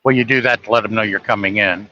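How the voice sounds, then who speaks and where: A man talks like a trucker on a casual livestream, blunt and folksy. His voice is bright and forceful at a middle pitch. The sound is dry, with slightly muffled fidelity. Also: fairly even intonation, fast talker, no room sound. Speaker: trucker livestreaming while working.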